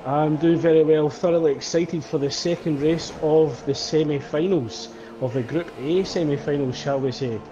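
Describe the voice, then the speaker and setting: A man giving play-by-play commentary on a sim race, calling lap times in a steady, measured way. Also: on the high side, dull audio, noisy background. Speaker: lead commentator.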